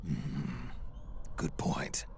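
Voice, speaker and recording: An actor playing a gruff, world-weary old soldier in a video game, with a gravelly American growl and a terse, dry, grumbling delivery. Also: male voice, very slow, a little hiss or hum. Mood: grumpy, dry.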